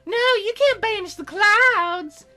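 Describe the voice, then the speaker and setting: A man putting on a squeaky, bratty fairy girl. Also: takes it slowly, pushed and bright, soft background music, exaggerated voice. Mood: proud, insistent. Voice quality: squeaky falsetto. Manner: petulant, demanding. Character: bossy, bratty young cloud fairy girl, easily offended.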